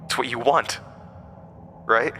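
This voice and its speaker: An actor playing an ancient monster, a persuasive, scornful tempter who speaks with theatrical, deliberate emphasis in a horror audio drama. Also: male voice, mid-range voice, steady tone, pushed and bright, slight background noise. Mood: coaxing, insistent.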